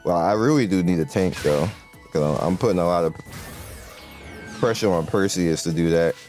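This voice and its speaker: A deep-voiced man talks loosely through a game he's playing, often stopping to restart his sentences or fill gaps with "um." His tone is relaxed and conversational, and faint music plays underneath. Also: normal speed. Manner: rambling, loose, with many fillers and restarts. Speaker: gaming channel host. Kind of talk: casual gameplay commentary.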